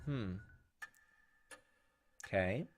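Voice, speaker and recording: A man's voice: on the low side, lively intonation, very slow, slight background noise. A man hosting a gaming video, reading visual novel text aloud and adding casual asides. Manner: slips into acted character voices between narration. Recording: game music behind the voice. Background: video game music.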